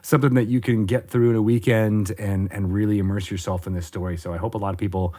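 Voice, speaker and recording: A man's voice: fairly low pitch, fairly even intonation, quick pace, mellow. A man co-hosting a podcast, upbeat and rambling as he wraps up the interview. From former theater major. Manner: upbeat, rambling, many fillers. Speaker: podcast co-host. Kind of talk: casual podcast chat.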